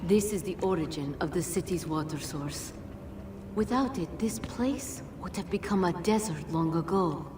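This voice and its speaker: A woman playing an ancient, solemn female Jinn guardian in a video game, speaking formally and gravely. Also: on the low side, background noise, close to an everyday voice. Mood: grave, measured. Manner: formal, measured, grave phrasing. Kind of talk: video game cutscene acting. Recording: echoey, distant, loud music behind.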